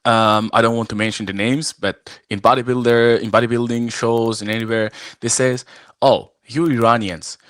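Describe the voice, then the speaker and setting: A man from Iran whose first language is Farsi, talking casually and haltingly on a video call, full of fillers and restarts. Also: medium pitch, steady tone, fairly bright tone, dry recording, clean studio sound. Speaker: guest, arm wrestler and referee. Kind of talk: casual live-stream chat. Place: at home, arm wrestling table behind.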